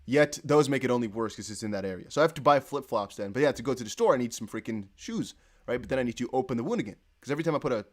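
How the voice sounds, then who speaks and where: A man's voice: mid-range voice, expressive, racing. A young man whose first language is Dutch, rambling casually on a livestream and reading viewer comments aloud. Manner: rambling, self-correcting, chatty. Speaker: crypto livestream host.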